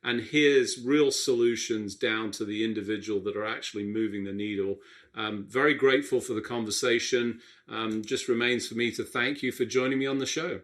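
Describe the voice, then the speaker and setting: A man in the host's seat of a health radio show, with a middle-pitched voice. He talks reflectively, often restarting sentences as he thinks aloud. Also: steady tone, normal speed, fairly bright tone. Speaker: radio show host, physician. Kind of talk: interview host.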